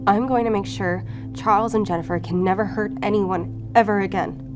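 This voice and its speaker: A woman's voice: mid-range voice, fairly even intonation, normal speed, music in the background, lightly acted. A woman playing a determined, guilt-ridden federal investigator in an audio drama, earnest and resolute in manner. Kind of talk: audio fiction acting.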